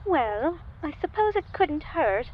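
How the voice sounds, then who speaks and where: A woman's voice: high-pitched, very animated, slow, heavily acted. A woman voicing an affectionate, fussy mother who talks sweetly to her child and the friends. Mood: doting. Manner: fussy, sweet, a bit scattered. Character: doting middle-aged mother, affectionate. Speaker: mother character. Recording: dull lo-fi mic with faint hiss.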